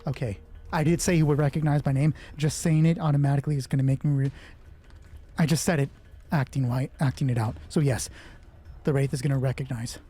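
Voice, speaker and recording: A man with a husky voice narrates a solo tabletop game casually, thinking aloud and swearing freely as he talks himself through rulings. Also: medium pitch, steady tone, a little fast, dark tone. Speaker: solo roleplay player and narrator.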